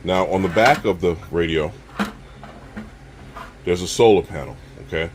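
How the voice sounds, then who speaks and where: A man with a low voice talks through a product in a casual, halting way, addressing viewers directly as a reviewer. There is clear steady noise behind him, like a room hum.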